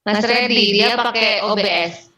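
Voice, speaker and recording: A woman with an Indonesian accent casually coordinating technical setup, haltingly searching for words. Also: mid-range voice, bright, forceful tone, distant mic. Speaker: event organiser.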